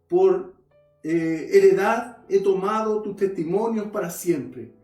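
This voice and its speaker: A man's voice: high voice, dark tone, distant mic. A male pastor preaching a sermon, earnestly addressing his listeners and reading scripture aloud. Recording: roomy echo.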